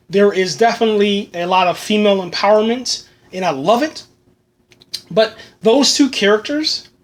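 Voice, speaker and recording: A man hosting a solo film review podcast, talking casually and enthusiastically with lots of fillers, a bit hoarse as he loses his voice. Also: high voice, a bit bright. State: losing his voice.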